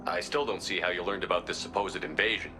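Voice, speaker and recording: A man's voice: low voice, fast, background noise, natural voice. A man playing a terse, commanding space military officer in a video game, blunt and clipped in his questioning. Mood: probing, skeptical. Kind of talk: video game cutscene acting. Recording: music under the dialogue.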